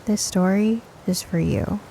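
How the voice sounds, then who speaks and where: A woman narrating a scripted first-person audio drama in an expressive, deliberate storytelling manner. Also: mid-range voice, vocal fry, slight background noise.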